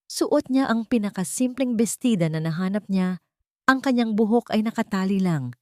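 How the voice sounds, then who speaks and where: A woman's voice: mid-range voice, expressive, moderate pace, dark tone, dry recording, studio quality. A woman reading a scripted, dramatic love story as its narrator.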